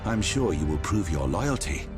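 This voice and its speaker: An actor playing a ruthless, haughty inquisitor in a video game, speaking with theatrical command and cold menace. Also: male voice, sits low, big pitch swings, moderate pace. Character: imperious, ruthless male inquisitor, coldly menacing. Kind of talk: video game cutscene acting. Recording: music behind the voice.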